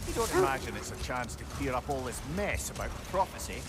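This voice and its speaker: An actor playing a witty, chatty old sage companion in a video game, with a wry, expressive way of talking. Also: male voice, mid-range voice, fairly quick, heavy background noise, natural voice. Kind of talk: dramatic video game acting.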